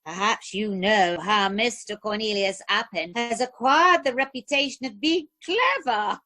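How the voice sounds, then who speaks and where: A woman's voice: fairly high pitch, a little slow, bright, forceful tone, no echo. A woman playing a haughty, gossiping upper-class Edwardian lady in an amateur radio play, with an arch, formal, theatrical manner. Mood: arch, inquisitive.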